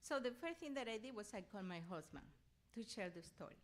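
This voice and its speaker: A middle-aged or older woman from Venezuela, a native Spanish speaker with a Venezuelan accent, giving an animated personal inspirational talk as a guest speaker. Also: on the high side, fast. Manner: animated storytelling, often acting out her own reactions; laughs readily while talking.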